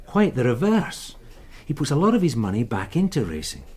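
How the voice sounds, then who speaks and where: A man's voice: medium pitch, wildly swooping pitch, a little mellow, slightly rough. An actor playing a weary male detective sergeant in a radio drama, dryly deferential and understated. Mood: dry briefing. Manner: dry, understated, measured briefing.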